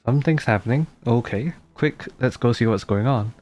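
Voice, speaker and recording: Male streamer, relaxed and dark-toned, casually reacting and reading over game music. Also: mid-range voice, normal speed. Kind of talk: casual gameplay commentary, reads dialogue aloud.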